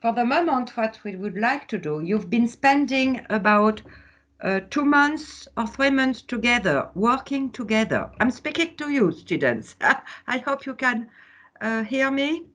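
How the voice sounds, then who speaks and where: A woman's voice: on the high side, animated, a little slow, fairly bright tone. A woman from France with a French accent, teaching an online workshop over a video call, laughing often and hesitating with fillers.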